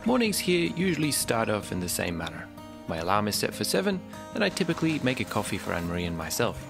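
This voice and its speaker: A young man narrating a vlog in a casual, relaxed, informal way, explaining things as he goes. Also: fairly low pitch, fast, creaky. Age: young adult. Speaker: vlogger. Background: music.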